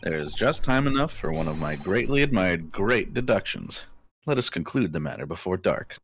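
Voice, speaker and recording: A man voicing a self-assured gentleman detective with pompous, formal, theatrical delivery in an amateur game fandub. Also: low voice, expressive, normal speed, a little hiss or hum. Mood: pompous, deducing. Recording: music playing behind the voice.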